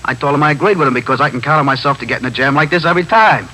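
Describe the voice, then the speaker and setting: A small-time street pusher in a 1950s radio drama. His bright, forceful voice races through slangy, self-justifying stories. The old recording carries a faint hum and hiss. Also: fairly high pitch, very fast, poor audio. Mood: rambling, rueful.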